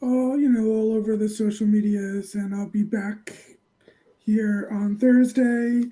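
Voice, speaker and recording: A woman chats casually as a panelist. Her voice sits at an ordinary pitch and has a strikingly dark, mellow tone without any sharp edge. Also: fairly even intonation, a little slow, low-quality recording. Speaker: podcast panelist. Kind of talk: casual chat.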